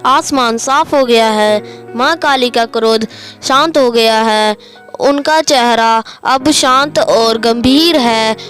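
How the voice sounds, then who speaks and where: Woman narrator, high voice, slow pace, scripted horror storytelling, music behind. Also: a bit bright.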